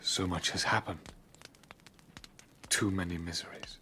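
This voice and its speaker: An actor playing a guilt-ridden, brooding young scientist with halting, anguished delivery in a dramatised audiobook. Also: male voice, low-pitched, fairly even intonation, sharp tone, whispered. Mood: weary, subdued. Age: young adult.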